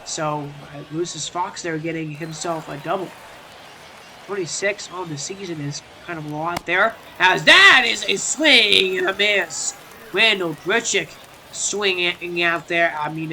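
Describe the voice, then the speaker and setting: Congested young male gaming commentator, high and very bright, casual and rambling. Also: a little hiss or hum. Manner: chatty, many fillers and restarts, excited on big plays. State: stuffy, congested. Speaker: video game commentator.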